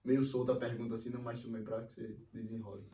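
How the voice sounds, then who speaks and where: A man's voice: medium pitch, little pitch movement, fast talker, mellow. A man hosting a casual podcast interview, asking loose, rambling questions. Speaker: podcast host. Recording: echoey room, mic at a distance, faint noise.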